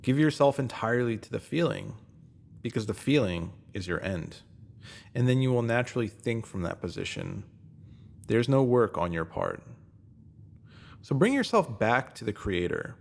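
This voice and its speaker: A man's voice: medium pitch, huge pitch swings, normal speed, vocal fry, pauses often. A man reading a self-help post as scripted narration, in an earnest, emphatic manner.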